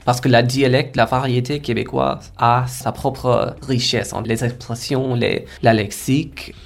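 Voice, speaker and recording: A young man from India whose first language is Korava gives an earnest, reflective personal account. His voice is gravelly and sits at a middle pitch. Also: steady tone, a little slow. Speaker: language learner and teaching assistant.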